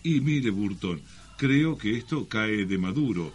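A man's voice: lowish voice, expressive, a little slow, slightly rough. A man with an Argentine accent co-hosting a radio show, trading teasing, mock-formal banter. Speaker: radio co-host. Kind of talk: bantering comic radio talk with mock-solemn lines.

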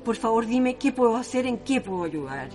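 A compassionate city woman in a Chilean radio melodrama. Her highish voice swings widely with emotion, exclamatory and distraught, in a Chilean accent over clear background music. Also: big pitch swings, fairly quick, a little mellow. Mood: pained sympathy. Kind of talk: melodramatic radio drama acting.

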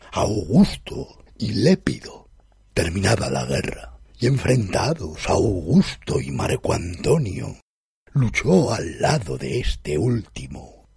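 A man reads a literary story as a first-person narrator. His voice is very husky and slow, with lively swings of pitch and a formal, theatrical delivery. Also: no room sound, clean studio sound. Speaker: narrator, reader.

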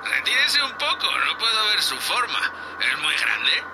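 A man's voice: high-pitched, very animated, a little slow, music in the background, full character voice, poor audio. A man with a Castilian Spanish accent playing a nervous, deferential young spaceship crewman in a sci-fi audio drama. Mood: curious, straining. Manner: hesitant, anxious, deferential, full of questions.

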